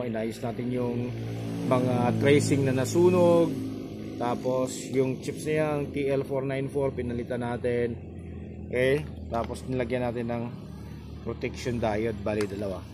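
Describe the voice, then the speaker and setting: A man with a Filipino accent giving a casual, halting, explanatory repair walkthrough as a technician hosting his own video. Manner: halting, explanatory, with frequent check-in tags. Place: repair spot beside a highway. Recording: noisy highway traffic behind voice. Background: loud vehicle and traffic noise.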